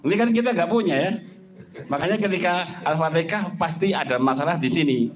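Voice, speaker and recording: A middle-aged Javanese man giving a lively sermon, with a Javanese accent, often jumping up in pitch for emphasis and asking rhetorical questions. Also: fairly quick, highs cut off, music in the background. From Java, Indonesia. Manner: animated, swings pitch up for emphasis, rhetorical questions, mimics speech. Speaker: Islamic preacher.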